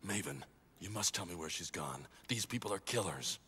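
An actor voicing a grim, brooding masked male vigilante, speaking deep, low and measured. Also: lively intonation. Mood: urgent. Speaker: masked detective hero. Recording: echoey cartoon soundtrack with loud score.

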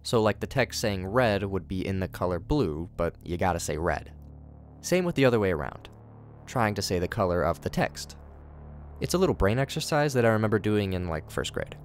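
A man's voice: deep voice, big pitch swings, brisk, a little hiss or hum. A man giving scripted but casual commentary, with wry conversational asides. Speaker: host of a horror series commentary channel.

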